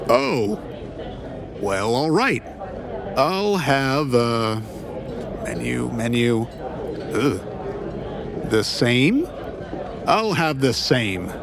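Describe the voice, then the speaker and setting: A man playing an awkward, self-deprecating ex-cop private eye in a noir comedy audio drama, wry and halting as he banters. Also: medium pitch, big pitch swings, creaky, crowd noise, full character voice. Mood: awkward, polite.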